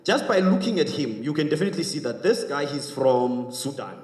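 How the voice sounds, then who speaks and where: A man's voice: on the high side, big pitch swings, brisk, a little mellow. A man with a South African accent giving a formal public speech, emphatic and rhetorical in manner. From South Africa, Johannesburg. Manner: emphatic, rhetorical, repeats questions. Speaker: public speaking class graduate giving a speech. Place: reverberant hall at a community graduation event. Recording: echoey hall, distant microphone.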